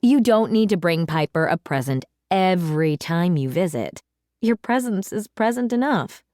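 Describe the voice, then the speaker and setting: A woman's voice: on the low side, lively intonation, normal speed, no room sound, clean studio sound, natural voice. A woman voicing a loving, mildly chiding mother in a children's storybook, with an emphatic manner. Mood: gently chiding. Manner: gently scolding, emphatic.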